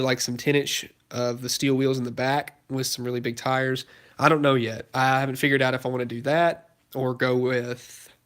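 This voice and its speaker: A man's voice: medium pitch, fairly bright tone. A young man hosting a casual live stream from his garage, a bit congested and losing his voice, rambling with lots of fillers and restarts. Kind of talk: casual live stream, answering chat questions. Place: cluttered home garage with a project car. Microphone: phone held while filming.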